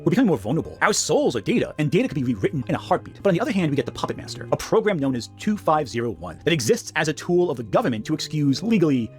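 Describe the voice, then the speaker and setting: Very fast, animated man narrating over music. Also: fairly high pitch. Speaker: video essayist. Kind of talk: scripted video essay narration. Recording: music under the voice. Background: background music.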